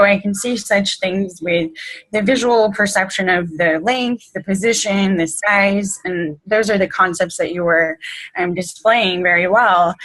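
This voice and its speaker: A woman with a bright, forceful voice moderates a presentation session. She asks a follow-up question in a chatty, meandering way, with plenty of "um" and "like" along the way. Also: medium pitch, low-quality recording. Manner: chatty, many fillers. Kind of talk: casual Q&A.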